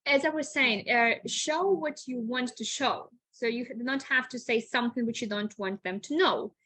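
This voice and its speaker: A woman's voice: on the high side, measured pace, bright, forceful tone, husky. A woman guest on a webinar, talking casually and repeating words as she thinks aloud. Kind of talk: casual online talk.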